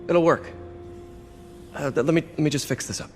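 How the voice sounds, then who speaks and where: A man's voice: fairly high pitch, normal speed, a little mellow, lightly acted. An actor playing an earnest, awkward young man who stammers a little, in a naturalistic game cutscene. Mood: reassuring. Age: young adult. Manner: hesitant, stammering. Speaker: young lab assistant hero in a video game. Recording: long hall reverb, music behind.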